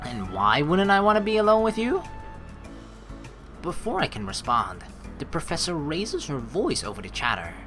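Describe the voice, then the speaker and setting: Low-voiced, animated woman doing a let's play. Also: moderate pace. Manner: playful, joking. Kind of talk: casual game commentary and reading text aloud. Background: game music.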